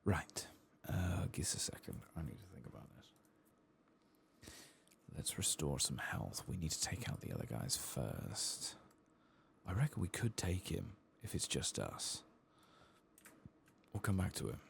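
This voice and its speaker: A man doing soft-spoken, partly whispered ASMR game commentary, murmuring to himself in an understated way. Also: sits low, sing-song, measured pace, gravelly, long pauses, slight background noise.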